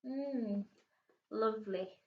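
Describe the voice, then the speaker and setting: A woman's voice: a little high, very slow. A woman voicing a shy, polite twelve-year-old girl with a hesitant manner in a storybook reading. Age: child. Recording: roomy, distant, muffled home recording.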